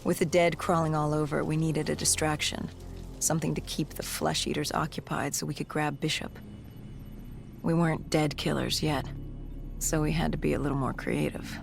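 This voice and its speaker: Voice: medium pitch, little pitch movement, normal speed, creaky. An actor's scripted game narration as a battle-worn cybernetic soldier, telling a grave story in a terse, dramatic way. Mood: grave, determined. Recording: dramatic music behind. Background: music.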